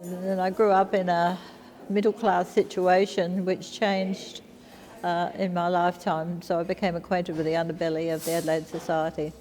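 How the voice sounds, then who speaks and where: A woman's voice: lowish voice, level tone, moderate pace, darkish tone, big-room sound, low-quality recording. A woman in her seventies with an Australian accent, speaking frankly in a documentary interview. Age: 70s.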